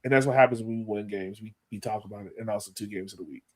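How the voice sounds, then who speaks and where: A man hosting a sports podcast in casual chat, animated and often repeating phrases for emphasis. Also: lowish voice, very fast. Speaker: podcast host.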